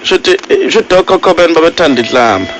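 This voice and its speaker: Fast-talking KwaZulu-Natal man, halting prank caller. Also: on the high side, lively intonation, a bit bright, soft background music. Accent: South African Zulu-speaking, KwaZulu-Natal. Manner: halting, repeats himself, earnest, persistent. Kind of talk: prank phone call, mock complaint.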